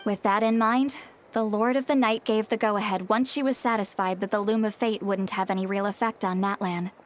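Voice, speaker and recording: A woman playing a calm, thoughtful young woman who explains things in a measured way in a video game. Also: medium pitch, a little hiss or hum, lightly acted. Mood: calm, explaining. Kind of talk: English video game voice acting. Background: game music.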